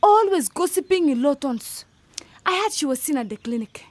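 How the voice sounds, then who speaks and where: A woman's voice: high-pitched, big pitch swings, normal speed, no echo. An actress in a radio drama playing a gossipy young woman of a village household, insinuating in manner.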